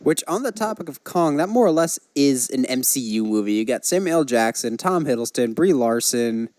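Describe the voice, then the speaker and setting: A man's voice: medium pitch, expressive, moderate pace, darkish tone, dry recording. A man hosting a podcast in casual, enthusiastic, conversational chat. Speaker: podcast host.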